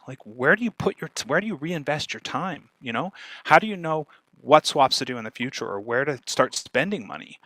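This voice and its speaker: Bright-voiced man giving an informal talk. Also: on the high side, no room sound, poor audio. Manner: fast, animated talker who checks in with "right?". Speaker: speaker, podcast marketer giving a talk.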